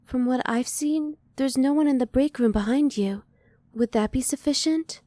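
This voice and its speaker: A woman playing a curious, emotionally reserved female alien in an ASMR roleplay, soft-spoken, with formal, measured and precise wording. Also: high-pitched, dry recording, clean studio sound, lightly acted. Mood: curious, tentative.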